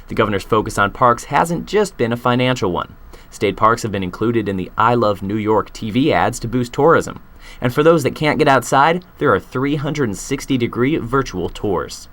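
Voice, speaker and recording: A man's voice: lowish voice, a bit bright. A man with an American accent reading a scripted news report as a reporter and anchor.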